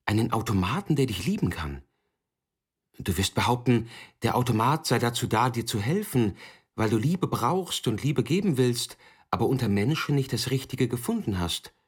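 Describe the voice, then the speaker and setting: A man giving a scripted literary narration in a measured, formal way, with a husky voice. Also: medium pitch, big pitch swings. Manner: measured, formal second-person storytelling. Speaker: author narrator.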